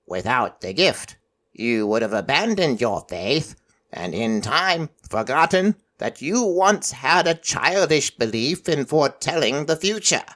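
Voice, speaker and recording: A man voicing an ancient, stern god of prophecy in raven form, croaking and solemn, in an audio story. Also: medium pitch, big pitch swings, a bit bright. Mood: solemn, explaining. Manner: slow, solemn, formal delivery. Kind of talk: audio fiction character voice.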